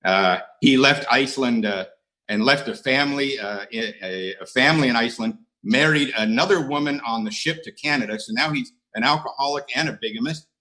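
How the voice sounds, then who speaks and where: A man's voice: medium pitch, a little fast, bright, forceful tone, low-quality recording. A middle-aged American man sharing his recovery story at an AA meeting, with dry, self-mocking humor and frequent hesitations. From United States. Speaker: AA speaker. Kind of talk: recovery share talk. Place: online conference.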